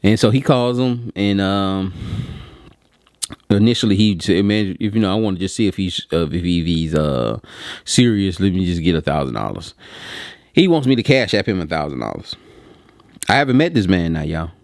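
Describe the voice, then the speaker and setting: A man with a low voice speaks in a dry-sounding space, as if swapping stories with friends. His delivery is informal and meandering, full of slang, and he voices both sides of remembered conversations. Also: expressive, moderate pace, creaky, darkish tone, no room sound. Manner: slangy, conversational, acts out quoted dialogue. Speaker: print shop owner telling a story to viewers. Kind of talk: casual rambling storytelling vlog.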